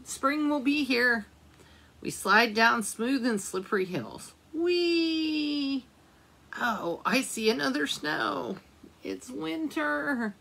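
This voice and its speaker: A woman librarian reading a picture book aloud at storytime, slowly and gently, pausing to ask the children questions. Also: on the high side, gravelly, breathy edge.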